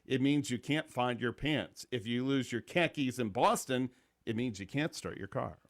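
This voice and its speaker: Male radio panelist, middle-range voice, informal delivery of quick one-liners. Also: mid-range voice. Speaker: radio panelist telling jokes. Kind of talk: casual banter, quick one-liner jokes.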